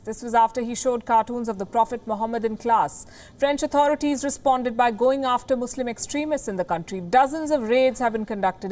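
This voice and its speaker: A woman anchoring the news, reading a script in an emphatic, dramatic way with deliberate pauses. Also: a little high, darkish tone.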